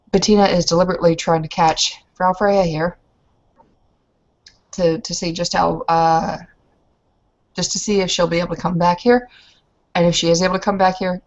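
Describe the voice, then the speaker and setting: A woman improvising narration for a roleplaying game in a halting, deliberate way. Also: fairly low pitch. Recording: dull, lo-fi audio.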